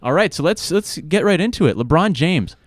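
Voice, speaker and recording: A man's voice: fairly high pitch, animated, fairly quick, no room sound. A young man hosting a casual sports talk show in a studio, enthusiastic and a little rambling. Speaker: podcast host.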